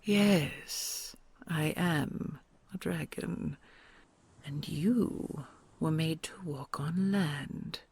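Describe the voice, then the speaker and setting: Kind old she-dragon queen voiced by a woman, whispery and gravelly, very slow and patient. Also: deep voice, darkish tone, exaggerated voice. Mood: gentle. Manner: slow soothing, amused, patient. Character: kind ancient female queen dragon, gentle. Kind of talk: audio roleplay acting.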